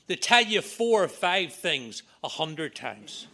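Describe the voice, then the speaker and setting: A middle-aged man with a Northern Irish accent, giving an earnest talk at a recovery meeting with quick asides. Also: a little high, big pitch swings, gravelly, sharp tone. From Northern Ireland. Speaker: AA speaker. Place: meeting room.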